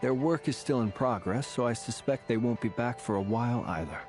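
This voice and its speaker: A man's voice: mid-range voice, expressive, fairly quick, music in the background, close to an everyday voice. A man playing a composed, genteel warrior in a video game, speaking formally and at length. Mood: genial, satisfied. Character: courteous, eloquent, composed gentleman warrior.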